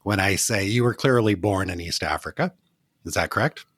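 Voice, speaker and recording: A man's voice: low voice, normal speed, a bit bright, slightly rough, no room sound, studio quality. A man hosting a travel podcast, candid and playful in a casual interview, often acting out lines he quotes. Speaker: podcast host.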